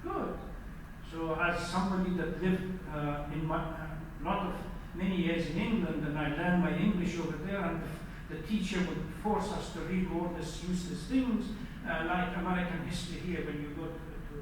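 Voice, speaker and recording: High-pitched male preacher in an echoey hall. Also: moderate pace. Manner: didactic, questions the audience directly. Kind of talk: sermon lecture to a congregation. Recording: echoey hall, distant mic, faint noise.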